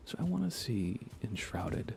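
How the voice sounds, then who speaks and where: A soft-spoken man chatting casually on an ASMR gaming livestream, relaxed and often slipping into a whisper. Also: fairly low pitch, unhurried, vocal fry, a little hiss or hum. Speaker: livestreamer and host.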